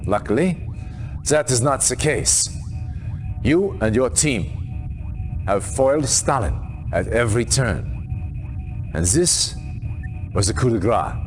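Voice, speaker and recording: A measured, theatrical military commander. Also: male voice, medium pitch. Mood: wry relief. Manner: formal, measured, theatrical delivery. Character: stern, wry Allied military commander briefing troops. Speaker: military commander giving mission briefings. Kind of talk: scripted game cutscene acting.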